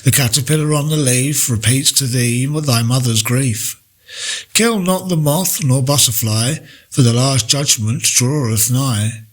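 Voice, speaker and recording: A man reading poetry aloud in a deliberate, measured way with pauses between phrases. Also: mid-range voice. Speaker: poetry reader. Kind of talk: poetry recitation, read aloud.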